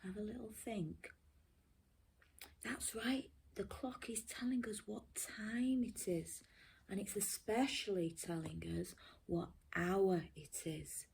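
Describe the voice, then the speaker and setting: A woman teacher reading a children's story aloud expressively, often dropping to a hushed near-whisper and asking her young listeners questions. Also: medium pitch, slow, vocal fry, slight background noise.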